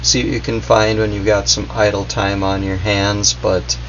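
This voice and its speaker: A man's voice: fairly low pitch, level tone, moderate pace, a bit bright. A man giving a casual, unscripted gadget review in a hesitant, meandering, noncommittal way. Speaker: product reviewer. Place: indoors in a basement, at a workbench. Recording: dull audio, faint background hum.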